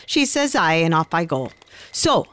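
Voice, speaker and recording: An Irish countrywoman telling a folk tale in a radio drama. She is chatty and confiding, with very lively pitch and a dry, close sound. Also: unhurried, no room sound, heavily acted. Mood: reminiscing. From Ireland. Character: Irish countrywoman recalling her granny's uncanny tale. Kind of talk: conversational storytelling in radio drama.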